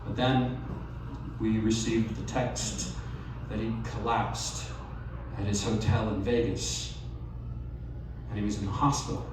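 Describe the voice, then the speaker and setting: A man reading a personal essay aloud at a live storytelling night, measured and wry. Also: on the low side, flat delivery, distant mic, noisy background. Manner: slow, measured delivery with wry asides. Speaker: reader at a live storytelling event. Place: bar or event venue, live audience. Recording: very echoey room, voice off-mic, faint ambience.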